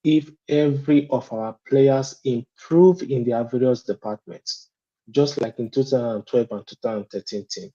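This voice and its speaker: A man sharing his opinion as a guest fan in a call-in discussion, speaking deliberately and often checking in with "you understand?". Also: mid-range voice, warm tone, dull audio.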